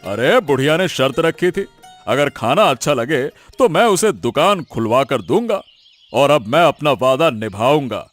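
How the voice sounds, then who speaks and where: A man's voice: mid-range voice, very animated, a little slow. A man playing a blustery, fair-minded village big man in a cartoon story, emphatic and given to repeating himself. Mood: reassuring. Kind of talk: scripted cartoon story acting. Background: music.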